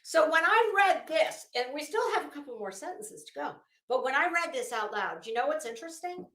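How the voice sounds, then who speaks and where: An American woman commentator with a high, highly animated voice, speaking in a roomy, echoing space. She delivers dramatic readings and casual commentary slowly and emphatically, drawing out phrases and breaking off for pointed asides. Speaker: commentary show host.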